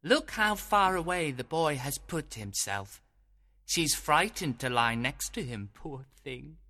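A man's voice: fairly high pitch, big pitch swings, slow, pushed and bright, voice pushed up, exaggerated voice. A man voicing an eager, mischievous sprite servant in a storybook, talking to himself in a gleeful, confiding way. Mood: curious, pitying. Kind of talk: storybook character voice.

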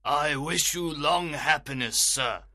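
A man's voice: on the high side, takes it slowly, sharp tone, no echo, voice pushed up, heavily acted. A man voicing a stern old father who insists on being obeyed, in a storybook reading. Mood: earnest. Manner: insistent, aggrieved.

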